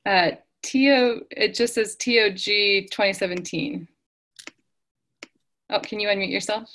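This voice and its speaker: A woman's voice: medium pitch, a little slow, fairly bright tone. A woman hosting an online meeting, calling out names one by one and asking people to unmute. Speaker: meeting host. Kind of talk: calling roll on a video call. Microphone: video call.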